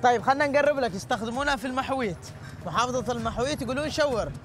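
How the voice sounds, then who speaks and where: High, rapid-fire Yemeni man quizzing people. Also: expressive, a bit bright, faint music behind. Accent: Yemeni Arabic. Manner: playful, teasing, pushes people to guess. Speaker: host. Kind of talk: street quiz with passers-by. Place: outdoor street in a town. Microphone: handheld mic.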